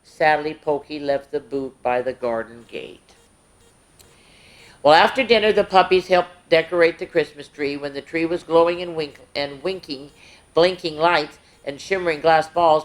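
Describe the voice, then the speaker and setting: A woman hosting story time, reading aloud to young children in an expressive way with little asides. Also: deep voice, low-quality recording.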